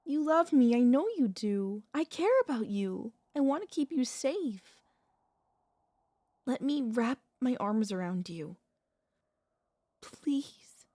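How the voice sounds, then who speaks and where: A woman playing a tender, anxious, possessive vampire mistress in an intimate ASMR audio roleplay, speaking in soft, formal, pleading phrases with dramatic pauses. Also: medium pitch, creaky, dry recording, clean studio sound.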